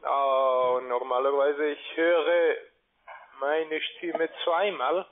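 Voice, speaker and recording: A man with a foreign accent and a high voice on a tinny phone line. He makes a formal sales pitch as an account manager, halting often and using broken non-native grammar. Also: level tone. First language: not German. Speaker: cold-calling account manager.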